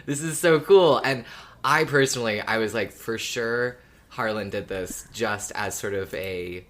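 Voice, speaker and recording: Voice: mid-range voice, measured pace, a bit bright. A podcast co-host chatting casually in an informal, wry way. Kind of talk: casual chat.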